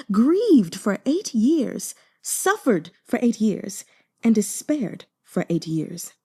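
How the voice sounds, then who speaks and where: A woman's voice: a little high, dark tone. A woman reading a novel aloud as dramatic narration. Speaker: audiobook reader.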